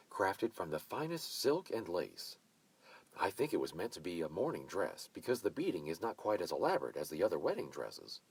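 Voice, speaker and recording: A man voicing a bossy, effusive mature woman who hosts a wedding party and gives orders briskly. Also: sits low, creaky, husky, dry recording, heavily acted. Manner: brisk, commanding, gushing.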